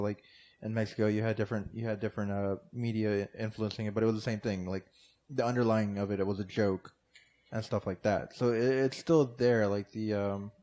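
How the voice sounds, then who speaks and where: A man's voice: on the low side, dark tone. An American man chatting casually on a comedy podcast, rambling through his points with lots of fillers and restarts. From United States. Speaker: podcast co-host or guest.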